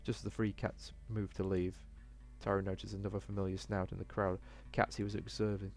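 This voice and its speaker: A man with a fairly low voice narrates a visual novel as he plays it. He reads the text aloud with the odd stumble, and faint game music runs underneath. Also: flat delivery, fairly quick, vocal fry, a little mellow. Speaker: let's player reading a game aloud.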